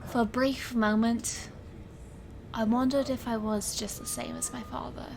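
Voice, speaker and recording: A woman's voice: on the high side, fairly even intonation, a little slow, noisy background. A woman playing a proud, intense stage illusionist who narrates her own magical story in a theatrical, earnest audio drama style. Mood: reflective, earnest. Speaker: narrator and lead character. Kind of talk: scripted first-person audio drama narration.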